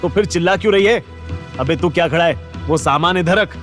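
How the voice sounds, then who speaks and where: A man's voice: on the high side, fairly quick, over music, voice pushed up, close to an everyday voice. A man voicing a crude, menacing street thug who scolds and orders people around in an audio drama. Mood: irritated, threatening. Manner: rough, scolding, bullying. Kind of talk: Hindi audio drama acting.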